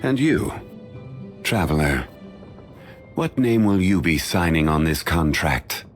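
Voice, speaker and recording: An actor voicing a dignified, ancient, learned man in a video game, speaking in a measured, formal and courteous way. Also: sits low, sing-song, soft background music, exaggerated voice. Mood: calm, formal.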